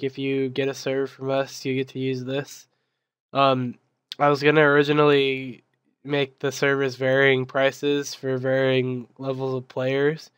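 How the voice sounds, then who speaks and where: A man's voice: mid-range voice, a bit bright, clear, resonant tone, dry recording. A young man explaining a game server control panel in a casual, filler-heavy way, his voice still recovering from being sick. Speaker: server admin giving a tutorial. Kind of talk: screen-recorded software tutorial.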